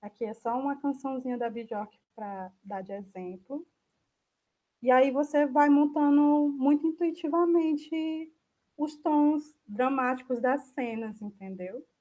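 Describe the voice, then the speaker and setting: A Brazilian woman speaks in a fairly high register while leading an informal online talk. She thinks aloud with some hesitation, walking listeners through a program and fielding their questions. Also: a little slow, mellow, poor audio. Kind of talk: informal software demo, answering viewer questions.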